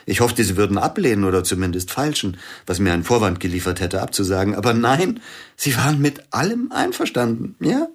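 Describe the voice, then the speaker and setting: Radio play: a bereaved pianist, deep voice, husky and hushed. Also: male voice, big pitch swings, fairly quick. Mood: grieving. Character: grieving widowed male concert pianist. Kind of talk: radio drama acting.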